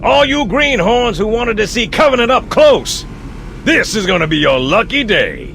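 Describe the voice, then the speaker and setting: A tough, swaggering marine sergeant in a game. His voice is pushed very high and swoops as he barks with gruff bravado, over loud rumbling noise. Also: sing-song, moderate pace, exaggerated voice. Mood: grimly gleeful. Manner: barking, drill-sergeant bravado. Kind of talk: video game cutscene acting.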